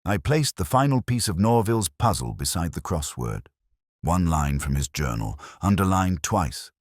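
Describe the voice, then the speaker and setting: A man playing a melancholy, reflective police inspector, narrating an audio drama in slow, measured, solemn literary prose with deliberate pauses. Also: low voice, very animated, no echo, studio quality. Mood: somber, brooding. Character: melancholy, reflective male police detective inspector telling his story.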